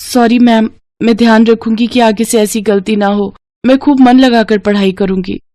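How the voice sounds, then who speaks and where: A woman's voice: a little high, fairly even intonation, moderate pace, mellow, no room sound, studio quality, lightly acted. A woman voicing a headstrong, lovestruck schoolgirl in a dramatized story, speaking in an emphatic, earnest way. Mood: apologetic. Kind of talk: dramatized Hindi moral story acting.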